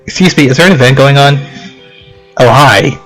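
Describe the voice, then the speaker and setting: A young man records a casual let's play in a middle-pitched voice. He reads on-screen text aloud and drifts into chatty, rambling asides. Game music plays behind him. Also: normal speed, fairly bright tone.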